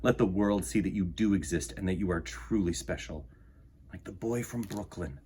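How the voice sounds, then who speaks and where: Low-voiced man reading a storybook aloud. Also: normal speed, mellow, slight background noise. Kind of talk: children's picture book read-aloud with chatty introduction.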